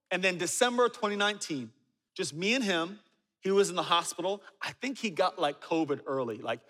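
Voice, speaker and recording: A man in his forties preaching a sermon, telling stories with humor and dropping low and quiet for asides. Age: 40s. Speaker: pastor. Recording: reverberant hall.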